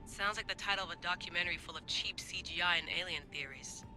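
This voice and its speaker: A woman playing a confident, determined young assassin researcher in a game cutscene, brisk and casual. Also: fairly low pitch, over music, close to an everyday voice. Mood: wry, musing. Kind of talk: video game cutscene acting.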